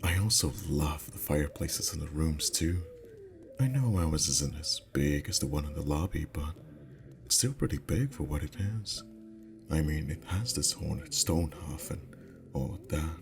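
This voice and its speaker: Male actor as sweet teasing boyfriend, extremely deep pitch, soft unhurried delivery, intimate ASMR roleplay, background music. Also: creaky, heavily acted. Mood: cozy, affectionate.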